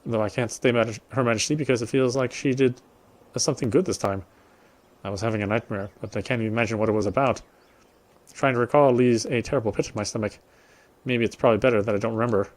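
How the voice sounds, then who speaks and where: A man's voice: medium pitch, fairly even intonation, fast, darkish tone. A man doing casual let's play commentary and reading a game's story text aloud. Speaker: game streamer. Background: game music.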